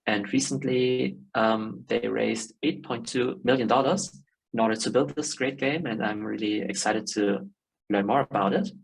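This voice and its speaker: Guy hosting a call, raspy and kinda gravelly, friendly. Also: medium pitch, fairly even intonation, moderate pace, low-quality recording. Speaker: interview host.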